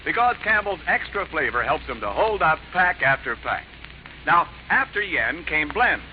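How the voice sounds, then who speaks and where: A man announcing on a 1940s radio comedy show, hearty and upbeat, mixing comic banter with the sales pitch. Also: very animated, normal speed. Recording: lo-fi 1940s radio broadcast with faint hiss.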